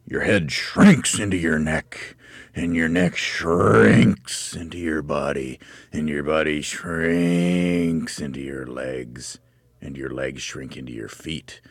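A man's voice: fairly low pitch, expressive, gravelly, slightly rough, dry recording, studio quality, voice pushed up, full character voice. Man acting a gleefully nasty, bullying old husband. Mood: mock solemn. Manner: emphatic, taunting, drawn-out pronouncements. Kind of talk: theatrical children's storybook reading.

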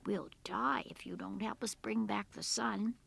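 A woman's voice: fairly high pitch, fairly even intonation, measured pace, gravelly. A woman voicing frightened villagers who plead urgently for help in a plaintive folk-tale voice. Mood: anxious pleading. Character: frightened villagers begging wise men for help.

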